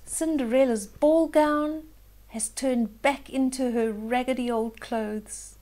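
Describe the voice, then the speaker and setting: Older lady doing storytime for kids, really slow, highish voice, expressive, explains stuff simply. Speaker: children's storyteller, host. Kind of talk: storybook read-aloud with asides to young listeners.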